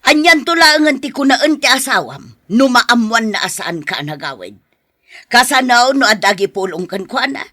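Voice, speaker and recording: Stern, sharp-voiced scolding mother, acted by a woman. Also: on the high side, animated, normal speed, dry recording, studio quality. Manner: scolding, nagging. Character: stern older mother scolding her married daughter. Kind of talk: podcast radio drama acting.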